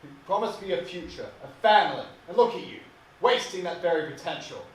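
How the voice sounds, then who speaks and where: A man's voice: medium pitch, unhurried, lightly acted. Male actor as older hard-drinking father: overbearing, contemptuous, stage monologue, recorded far from the mic in an echoey room. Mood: angry contempt. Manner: scornful, forceful, haranguing. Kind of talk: theatrical monologue acting. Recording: distant mic, echoey room, faint hiss.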